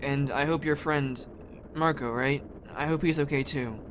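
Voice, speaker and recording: A man acting a blunt but earnest, headstrong teenage boy in a naturalistic audio drama, speaking informally and a little haltingly. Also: mid-range voice, fairly even intonation, lightly acted. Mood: worried, determined. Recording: clear vehicle-like background noise.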